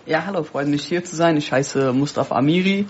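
A man from Afghanistan, nervous and excited on his first live radio appearance, speaking with many fillers. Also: a little high, brisk, a little hiss or hum. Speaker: guest presenter. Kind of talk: casual radio talk. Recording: dull lo-fi radio sound.

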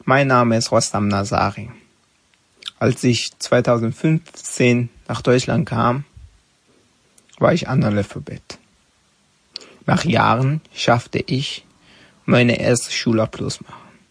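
Young guy, slow and halting, gravelly, dry close sound. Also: medium pitch, no room sound. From Afghanistan. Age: young adult. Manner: slow, halting, simple phrasing. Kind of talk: personal statement.

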